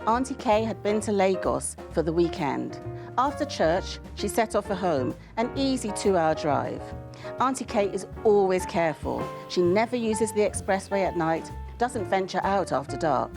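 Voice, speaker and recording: A woman author presenting and reading fiction in a scripted style, with measured, expressive phrasing. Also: medium pitch. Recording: music bed under the voice. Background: music.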